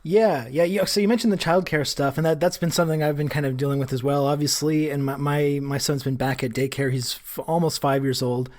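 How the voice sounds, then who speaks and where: A man's voice: fairly high pitch, steady tone, fairly quick, few pauses, a little mellow. A man hosting a podcast, chatting casually and hedging with lots of "kind of". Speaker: podcast host. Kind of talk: casual interview chat.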